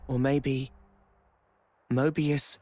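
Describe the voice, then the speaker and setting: A man voicing a quiet, thoughtful young man in a video game, speaking softly and hesitantly. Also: mid-range voice, little pitch movement, extremely slow. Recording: game cutscene with music.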